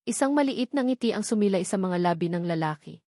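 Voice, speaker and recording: Woman story narrator, mid pitch, scripted romance drama read, really dry recording. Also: lively intonation, a little fast, dark tone, no room sound.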